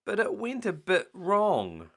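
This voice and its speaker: A man voicing an excitable little girl pig in a storybook reading, squealing with breathless excitement. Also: sits high, slow, pitched above the performer's own voice, heavily acted. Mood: eager.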